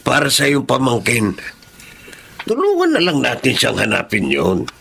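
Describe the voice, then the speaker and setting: Elderly Filipino lolo, gravelly fry, kindly, reassuring, radio drama, slight background noise. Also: male voice, medium pitch, huge pitch swings, normal speed, bright, forceful tone, exaggerated voice. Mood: patient, hopeful. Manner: mild, reassuring. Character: kindly, patient, aging Filipino grandfather.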